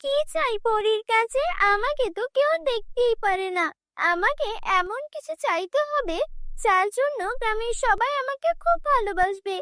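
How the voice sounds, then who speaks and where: A lonely, kind-hearted village girl in a cartoon story, voiced by a woman. Her voice is extremely high, earnest and plaintive. It is recorded dry and close. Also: lively intonation, moderate pace, bright, forceful tone, dry recording, exaggerated voice. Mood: sad, plaintive.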